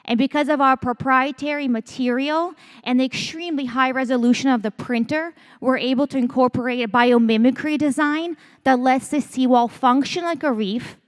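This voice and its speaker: A woman from Ukraine, a company founder, giving a lively conference pitch talk on stage. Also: moderate pace, few pauses. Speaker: startup founder and CEO.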